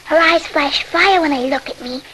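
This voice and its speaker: A woman's voice: high-pitched, moderate pace, close to an everyday voice. A woman playing a frightened, tearful little sister in a 1940s radio horror drama. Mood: anxious, hushed. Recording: noisy, crackly 1940s radio recording.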